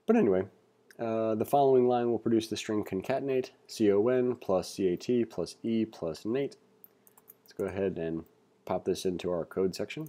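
A man giving a read-along tutorial as an instructor, reading aloud and adding informal asides as he thinks out loud. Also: deep voice. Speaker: programming instructor. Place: indoors, screen-recording voice-over.

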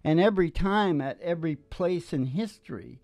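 An older man chatting casually as a show host and singer, in a halting, opinionated, wry manner. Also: on the high side, expressive, a little mellow, dry recording. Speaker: host and singer of a father-daughter music podcast. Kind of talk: casual rambling chat between songs. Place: small dim curtained stage indoors. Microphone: microphone on a stand, close to mouth. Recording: dull lo-fi live sound with acoustic guitar. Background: acoustic guitar music.

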